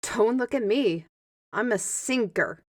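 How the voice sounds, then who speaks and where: A woman's voice: on the high side, takes it slowly. A woman playing a scrappy, sardonic squire in a fantasy audio drama, with a wry and informal way of talking. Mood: wry, skeptical. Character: sardonic, scrappy female squire, quick to quip.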